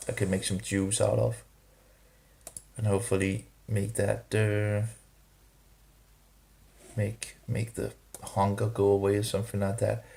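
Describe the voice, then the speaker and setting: Slow, gravelly low-voiced man vlogging. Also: little pitch movement, warm tone. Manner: hesitant, halting, many restarts and fillers; grumbling, disapproving asides. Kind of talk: rambling casual vlog monologue.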